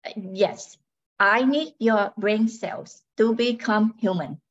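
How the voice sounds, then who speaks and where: A woman playing a polite robot wife in a radio play, speaking in a measured, matter-of-fact, coolly apologetic way. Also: mid-range voice, muffled recording. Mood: matter-of-fact, insistent. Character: polite robot wife draining brain cells to become human.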